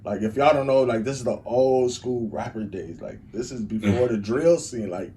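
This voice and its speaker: A young man with a Chicago accent, a rapper and engineer, telling stories in a rambling, animated way as an interview guest. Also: mid-range voice, moderate pace, a little hiss or hum. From Chicago, USA. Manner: rambling, animated storytelling with lots of "like". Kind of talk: casual storytelling interview.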